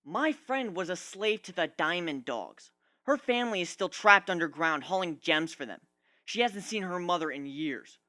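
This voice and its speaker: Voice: mid-range voice, expressive, moderate pace, pushed and bright, no room sound, pitched above the performer's own voice. A narrator voicing a wounded, sarcastic young male griffin who rants emphatically in a storybook reading. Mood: bitter ranting. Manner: ranting, emphatic, aggrieved.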